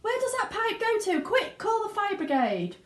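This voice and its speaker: A shrill, hysterical mother from a children's storybook, put on by a woman reader, pitched very high and swooping. She scolds and shrieks with bossy indignation, in a roomy space. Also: wildly swooping pitch, normal speed, exaggerated voice. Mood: panicked. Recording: roomy indoor echo.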